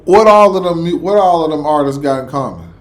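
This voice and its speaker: A man with a voice a bit higher than most gives off-the-cuff reaction commentary. He talks in an animated way, doubling back on words and posing rhetorical questions. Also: a little fast. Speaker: reaction video host.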